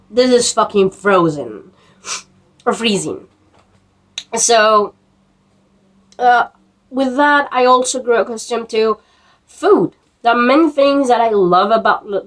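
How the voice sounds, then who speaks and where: Slow, rambling vlogger woman in her twenties. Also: fairly high pitch. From Peru. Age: 20s. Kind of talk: rambling casual vlog.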